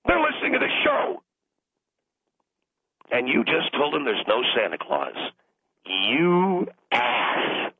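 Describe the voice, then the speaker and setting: A hoarse man rants down a tinny phone line, his strained voice raspy and gravelly. He scolds with mock outrage, his pitch leaping all over the place. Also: huge pitch swings, slow, pauses often, dry recording. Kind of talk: comic call-in rant.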